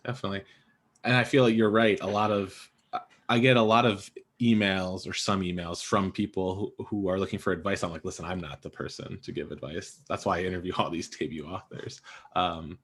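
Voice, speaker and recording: A man's voice: deep voice, steady tone, brisk, fairly bright tone, slightly rough. A man hosting a podcast-style author panel, interviewing in a casual, conversational way. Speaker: podcast host and panel moderator.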